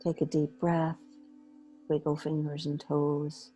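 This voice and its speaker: A woman leading a guided meditation in a gentle, unhurried way, pausing between short phrases. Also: sits low, monotone, breathy edge, faint music behind. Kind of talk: guided meditation, slow soothing instruction.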